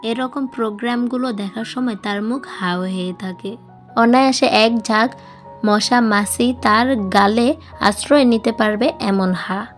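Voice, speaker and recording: A woman's voice: a little high, measured pace. A woman reading a novel aloud as a scripted audiobook narration. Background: background music.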